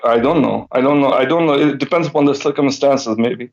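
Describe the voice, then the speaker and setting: A man calling in to a live debate show, answering hesitantly with many pauses and fillers. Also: mid-range voice. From Switzerland. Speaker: caller. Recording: dull call audio.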